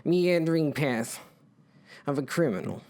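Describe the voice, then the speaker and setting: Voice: mid-range voice, expressive, measured pace, a little mellow, pitched below the performer's own voice. A brooding fugitive man from a comic story, as a narrator plays him. He is melancholy and guilt-ridden, and makes melodrama of his own thoughts.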